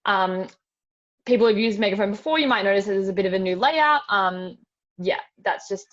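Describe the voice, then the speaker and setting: A woman's voice: medium pitch, fairly quick. An Australian woman giving an informal step-by-step online tutorial in a casual, chatty way. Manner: casual, chatty, frequent "like" and "super". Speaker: webinar presenter giving a demonstration. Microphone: video call. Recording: dull lo-fi video call audio.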